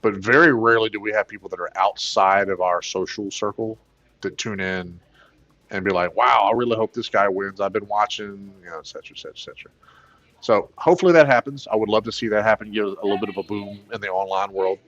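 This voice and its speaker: A man with a plain baritone voice, hosting a casual gaming livestream, rambling with many fillers and excited bursts. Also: fast, highs cut off, faint music behind. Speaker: gaming channel host.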